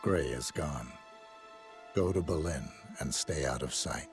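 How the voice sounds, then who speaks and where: An actor playing a stoic, cold, controlled assassin in a game cutscene, terse and deliberate, with a gravelly voice. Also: male voice, very deep voice. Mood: grim. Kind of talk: cinematic game cutscene acting. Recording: loud music under the voice.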